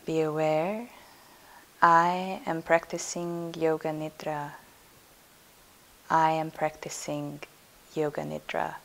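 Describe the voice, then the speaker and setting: A woman leading a yoga nidra guided meditation as instructor, soothing and unhurried, leaving pauses between her instructions. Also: sits low, level tone, creaky, fairly bright tone.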